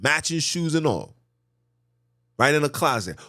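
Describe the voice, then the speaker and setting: A man hosting a podcast, preaching a monologue with animated, emphatic delivery, often jumping high in pitch and swinging between loud and hushed. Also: unhurried. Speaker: podcast host.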